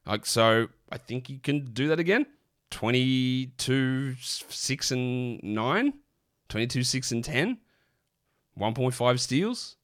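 A man, sick and croaky, hosting a casual basketball podcast with lots of rambling restarts. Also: medium pitch, animated, slow pace, a bit bright. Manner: rambling, chatty, many fillers and restarts. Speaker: fantasy basketball podcast host. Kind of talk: casual solo podcast talk.

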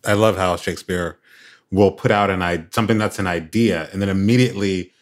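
Low-voiced relaxed male interviewer. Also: fairly quick. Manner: relaxed, laughs easily, thoughtful questions. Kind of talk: conversational interview.

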